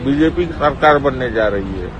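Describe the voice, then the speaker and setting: A man, a teacher serving as polling officer, talking low and resigned on a secretly recorded call. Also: expressive, moderate pace. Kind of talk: recorded phone conversation. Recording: noisy covert call recording.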